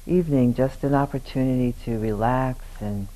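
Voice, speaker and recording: Man gently guiding a meditation. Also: mid-range voice, poor audio. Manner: unhurried, gentle, with long pauses between short phrases. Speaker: meditation teacher. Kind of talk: guided meditation.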